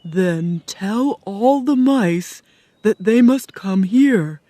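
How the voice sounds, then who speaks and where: A woman voicing a kind, gentle male scarecrow in a storybook reading, speaking calmly and earnestly. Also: mid-range voice, no echo. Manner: calm, earnest, slow and persuasive.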